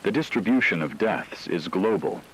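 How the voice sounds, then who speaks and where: A man playing a grave, analytical news anchor in an apocalypse radio drama, speaking in a measured, formal way. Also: sits low, lively intonation, slight background noise, rough recording. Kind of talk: scripted radio news commentary.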